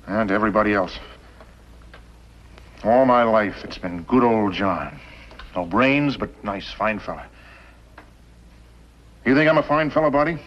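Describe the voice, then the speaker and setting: A middle-aged man playing a scheming, bitter outlaw boss in a 1950s TV western, speaking with sardonic, deliberate intensity. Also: on the low side, lively intonation. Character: scheming, bitter outlaw boss posing as mild telegraph operator. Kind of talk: 1950s television western acting. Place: small wooden cabin set, indoors. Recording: muffled, noisy old 1950s TV soundtrack.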